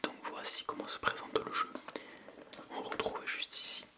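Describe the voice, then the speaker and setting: A French ASMR creator speaking entirely in a soft, breathy whisper. The delivery is casual and unscripted, with a hesitant habit of thinking aloud. It sounds intimate and close to the microphone. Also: normal speed. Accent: French from France.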